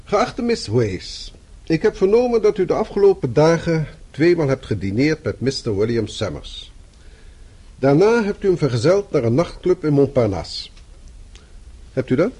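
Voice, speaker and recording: A man's voice: a little high, sing-song, moderate pace, warm tone, breathy edge. A man acting in a radio play as a stern, middle-aged boss who speaks formally with dry sarcasm. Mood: dryly disapproving. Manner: formal, clipped, dryly sarcastic. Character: stern, sardonic middle-aged male boss, fatherly and disapproving.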